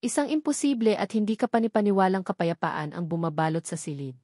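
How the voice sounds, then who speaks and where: A woman's voice: mid-range voice, very animated, quick pace, warm tone, no echo, studio quality. A woman with a Filipino accent reading a scripted dramatic story as its narrator.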